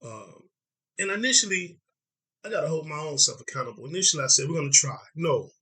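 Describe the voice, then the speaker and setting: A man from Dallas, Texas hosting a podcast, hoarse from congestion, talking reflectively with frequent pauses. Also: a little high, animated, sharp tone. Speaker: podcast host. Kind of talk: casual conversation.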